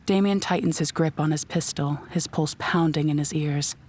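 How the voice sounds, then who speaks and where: A woman's voice: low-pitched, normal speed, a little mellow. A woman narrating a scripted audio drama in a descriptive storytelling manner.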